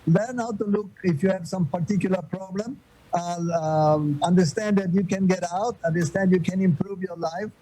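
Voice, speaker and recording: An older man from Florence with a Florentine Italian accent, answering interview questions with many pauses and restarts. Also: high-pitched, dark tone, breathy edge, low-quality recording. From Florence, Italy. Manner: hesitant, many fillers and restarts. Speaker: guest.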